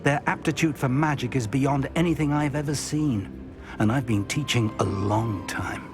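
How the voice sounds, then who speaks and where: A man's voice: medium pitch, normal speed, over music, close to an everyday voice. An actor playing a shrewd, seasoned male wizard professor in a video game, middle-aged, articulate and slightly wry. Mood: wry, earnest.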